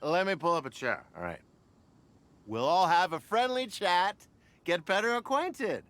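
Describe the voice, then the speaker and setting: A pushy, overfamiliar barfly. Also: male voice, high-pitched, very animated, a little slow, a little hiss or hum, full character voice. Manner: loud, overfamiliar, chuckling. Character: brash nosy male barfly, pushy. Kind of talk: game voice acting.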